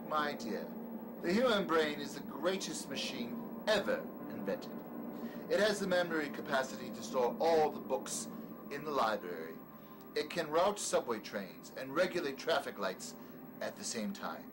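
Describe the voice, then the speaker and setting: An actor playing a manic, cruel, grandiose doctor in a horror audio drama, ranting and sneering, swinging from outbursts to mutters. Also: male voice, mid-range voice, big pitch swings, moderate pace, noisy background, close to an everyday voice. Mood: grandiose lecturing.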